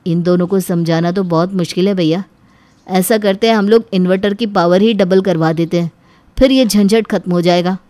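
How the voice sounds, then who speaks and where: A woman voicing an easygoing young married man, the younger brother in an animated family story, speaking casually. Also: fairly low pitch, normal speed, no echo, voice pushed down, natural voice. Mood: practical.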